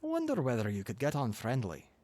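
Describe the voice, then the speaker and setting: A man's voice: medium pitch, wildly swooping pitch, fairly quick, darkish tone, dry recording. A man voicing a kindly, wry old newspaper editor with a Scottish accent in an audiobook story. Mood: musing, sly.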